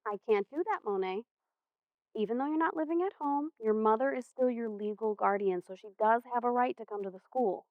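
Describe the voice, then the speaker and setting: Patient female school counselor, played by a stage actress: measured, sincere delivery, fairly high pitch, muffled sound. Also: no room sound, close to an everyday voice. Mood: gently firm. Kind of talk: amateur stage play acting. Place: theater stage. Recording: muffled, dull stage recording.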